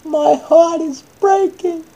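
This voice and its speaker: A woman voicing a grieving father from a Bible story, in melodramatic, drawn-out declamation. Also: extremely high pitch, fairly even intonation, dark tone, highs cut off, voice pushed up, exaggerated voice. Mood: anguished. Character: anguished Bible father, grieving adult man.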